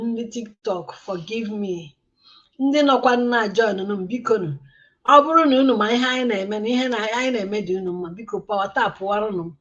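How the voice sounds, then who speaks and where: A woman with a Nigerian Igbo accent hosts a live stream at a middling pitch. She talks unhurriedly in an apologetic, coaxing way, urging viewers to come in and be patient with her. The sound is dull and lo-fi. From Igbo land, southeastern Nigeria. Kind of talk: live-stream chat, mixing Igbo and English.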